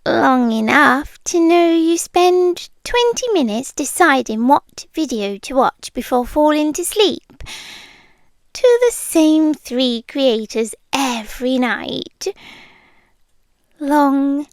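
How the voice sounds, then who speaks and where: Woman as a soft, high-voiced British monster girlfriend. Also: lively intonation, slow, no echo, heavily acted. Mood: teasing, calm. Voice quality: soft, breathy, often dropping into whispers. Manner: hesitant, dryly awkward, confiding. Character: shy, smitten female monster hiding under the bed. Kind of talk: intimate ASMR roleplay. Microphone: close microphone, dry.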